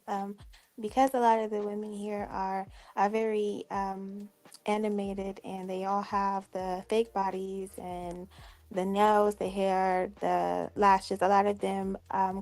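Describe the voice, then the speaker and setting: A woman's voice: medium pitch, little pitch movement, a little mellow, no room sound. A woman in her twenties from Detroit, whose first language was Algonquian, calling in and talking hesitantly and apologetically. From Detroit, United States. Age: 20s. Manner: hesitant, apologetic, many pauses. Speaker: caller. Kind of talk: call-in chat.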